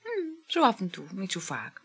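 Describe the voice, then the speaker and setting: An actress playing an impulsive, talkative, lovestruck woman in a naturalistic radio drama, effusive and eager, with a breathy voice. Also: medium pitch, wildly swooping pitch, normal speed, heavily acted. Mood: breezy, animated. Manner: effusive, full of exclamations.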